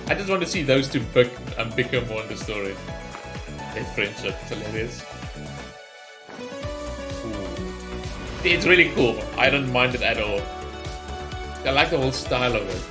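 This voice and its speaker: A man's voice: mid-range voice, animated, normal speed, long pauses, over music. A man hosting a reaction video, giving informal, enthusiastic commentary in casual language. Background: game trailer soundtrack.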